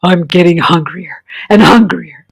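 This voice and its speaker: A woman's voice: unhurried, vocal fry, husky, no echo, exaggerated voice. Lady doing a sneaky whispery croc, greedy and plotting, dry close sound. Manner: low hissing whisper, gloating. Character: sly greedy male crocodile, huge and scheming.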